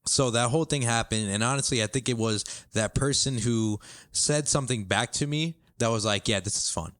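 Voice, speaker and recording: A young man hosting a podcast, chatting casually and telling a story with bursts of excitement. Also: no room sound, clean studio sound. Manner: animated storyteller, quick bursts and excited jumps in pitch. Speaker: podcast host and basketball commentator. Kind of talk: casual chat.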